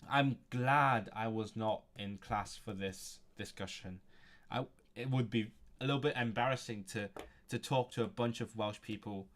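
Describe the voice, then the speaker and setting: A man's voice: fairly low pitch, normal speed, a bit bright. Man musing aloud over his studies. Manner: thinking aloud, musing to himself with frequent chuckles. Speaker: language learner streaming his study session. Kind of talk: casual livestream, reading a textbook aloud and commenting.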